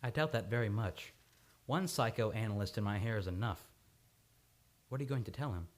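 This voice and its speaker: Woman playing troubled man, very deep for a female voice, sardonic, wry, radio play acting. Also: normal speed. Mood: sceptical. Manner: wry, sarcastic. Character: troubled, sardonic male amnesia patient, posing as a husband. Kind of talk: live old-time radio play acting.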